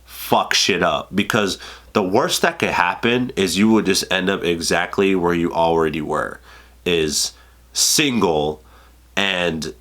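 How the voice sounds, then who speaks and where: A man's voice: sits low, slow, a bit bright. A man in his twenties, congested from a cold, giving casual dating advice in a loose, profane, filler-heavy way. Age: 20s. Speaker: vlogger. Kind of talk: casual advice vlog to camera.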